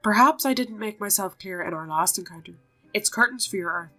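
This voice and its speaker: A woman's voice: mid-range voice, a little fast, bright, forceful tone, faint music behind. Woman playing a flamboyant, aloof circus showman. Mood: scornful, aloof.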